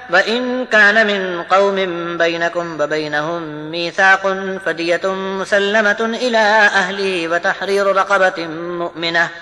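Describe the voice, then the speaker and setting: A man giving a melodic Quran recitation, chanting with drawn-out syllables. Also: sits high, pushed and bright. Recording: long hall reverb.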